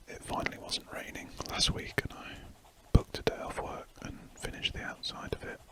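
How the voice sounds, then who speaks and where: A man talks in a breathy, unvoiced whisper right up against a close mic. He drifts from thought to thought and restarts his phrases as he works on a drawing. The soft ASMR delivery stays hushed throughout. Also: moderate pace. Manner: soft rambling whisper with self-corrections. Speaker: ASMR drawing channel host. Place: indoors at a drawing desk.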